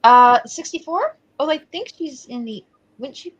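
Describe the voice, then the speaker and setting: Female game player, high-pitched, informal conversational delivery. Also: big pitch swings, a little slow, lo-fi sound. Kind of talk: casual game chat.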